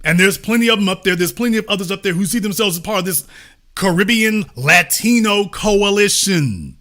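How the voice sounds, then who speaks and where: A man's voice: high-pitched, brisk, pushed and bright. A man hosting a commentary show, talking back at a clip in an emphatic, lecturing way and repeating his points for stress. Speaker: commentator host. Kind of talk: reacting to an interview clip.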